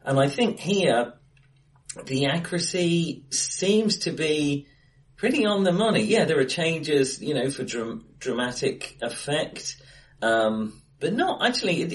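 A man co-hosting a casual film discussion in a bright room, speaking in stop-start phrases with emphatic bursts. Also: fairly high pitch, animated, a little slow. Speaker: podcast co-host. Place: acoustically bright room, face to face.

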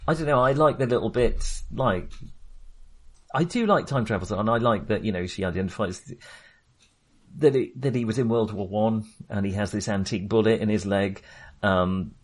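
A man's voice: fairly low pitch, moderate pace. A British man co-hosting a casual film discussion, speaking in a hesitant, rambling way and often interrupting himself. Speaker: film podcast co-host.

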